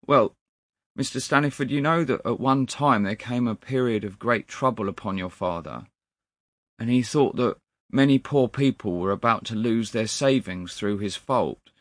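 A man's voice: medium pitch, normal speed, no room sound, clean studio sound, pitched above the performer's own voice, natural voice. A man voicing an anguished, loyal male clerk in a dramatic storybook reading, deferential and pleading. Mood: earnest, sorrowful. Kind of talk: dramatic storybook character acting.

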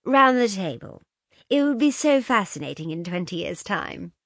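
A woman's voice: medium pitch, sing-song, normal speed, fairly bright tone, no room sound, clean studio sound. A woman voicing a flighty, affected young society wife in an audiobook, breathless and gushing. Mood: dreamy, affected. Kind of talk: audiobook character dialogue.

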